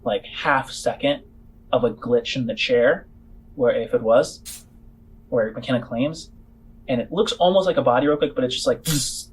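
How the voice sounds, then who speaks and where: A man running a tabletop game, improvising descriptive narration in halting, trailing phrases. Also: mid-range voice, a little hiss or hum, rough recording. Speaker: game master.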